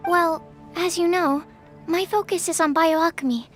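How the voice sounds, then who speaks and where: A woman's voice: very high voice, steady tone, unhurried. A woman voicing a shy, polite, bookish young alchemist in an anime game, explaining earnestly with a hesitant, apologetic manner. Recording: music behind the voice.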